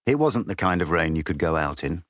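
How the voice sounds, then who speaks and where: Male storybook narrator, lowish register, lively intonation, dry studio audiobook sound. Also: brisk, no echo.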